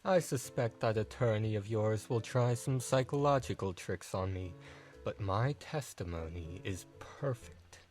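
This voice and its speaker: A man acts out a smug, theatrical gentleman witness in an audio drama. His voice is fairly low and he speaks very slowly, savoring grand, taunting phrases. Faint music plays underneath. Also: natural voice. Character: smug, theatrical male writer and false witness.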